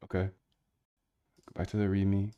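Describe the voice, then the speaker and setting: A man's voice: deep voice, monotone, moderate pace, clean tone, dull audio. A man streaming as he works on his screen, rambling and slangy, talking to chat as he goes. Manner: rambling, slangy, talks to chat while working. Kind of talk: casual screen-share chat.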